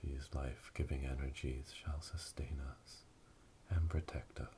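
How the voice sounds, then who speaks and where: A man playing a solemn witch elder leading an initiation rite in a breathy, whispered ASMR roleplay, speaking slowly and ceremonially. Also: fairly even intonation, mellow. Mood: solemn, hushed. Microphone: close binaural microphone. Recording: close binaural, rumbling noise, clicks.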